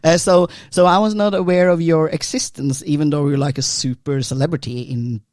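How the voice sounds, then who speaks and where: A man from Norway hosts a podcast and talks in English, which is not his first language. His speech is hesitant and halting, and the recording is dry, with no room echo. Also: fairly high pitch, fairly even intonation, darkish tone. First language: Norwegian. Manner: hesitant, many fillers and restarts. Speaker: podcast host. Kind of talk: casual podcast interview.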